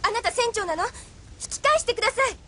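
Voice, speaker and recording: A woman's voice: very high-pitched, lively intonation, quick pace, background noise. A woman playing an anxious, suspicious young island girl with a pleading, informal manner in an audio drama. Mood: alarmed. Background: faint music.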